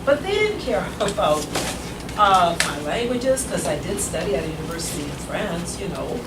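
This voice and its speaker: A woman's voice: on the low side, big pitch swings, normal speed. Older woman speaking far off in an echoey room. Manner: rambling, grows emphatic and forceful. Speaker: meeting attendee. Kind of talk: impassioned personal story at a meeting. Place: meeting room. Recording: echoey room, far from the mic, loud noise.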